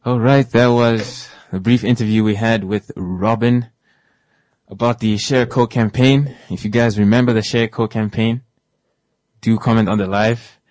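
A man hosting live radio, relaxed and joking with guests and listeners. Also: fairly low pitch, moderate pace, dry recording. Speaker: radio host.

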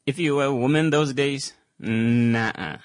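A man's voice: mid-range voice, slow, dry recording. A man, co-hosting a casual studio discussion and telling stories hesitantly, with lots of fillers and restarts. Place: broadcast studio.

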